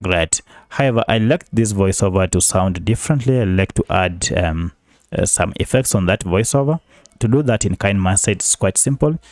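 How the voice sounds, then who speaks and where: A man's voice: sits low, lively intonation, moderate pace, darkish tone, no room sound, studio quality. A man hosting an app tutorial, giving polite step-by-step instructions. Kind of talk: screen-recording tutorial narration.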